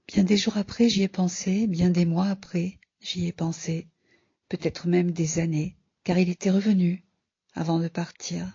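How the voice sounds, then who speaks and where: A very husky woman reads a personal story aloud, slowly and with little rise or fall. Her delivery is subdued and measured, on a dry, dull home recording. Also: on the low side, steady tone, dark tone, no room sound. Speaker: contributor reading a personal story.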